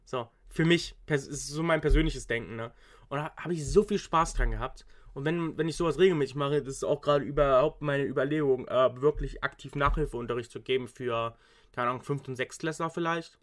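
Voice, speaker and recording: A man's voice: medium pitch, a little fast. Young male student, loose rambling talker, everyday conversational register. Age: young adult. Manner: rambling, frequent restarts and English slang. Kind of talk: casual chat.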